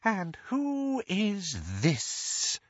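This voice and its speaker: A man voicing a cruel dark lord with a soft, hissing, silky voice, drawling his words slowly and mockingly. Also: sits high, big pitch swings, no echo, muffled recording, pitched above the performer's own voice, heavily acted. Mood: silky menace. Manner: slow, drawn-out, mocking.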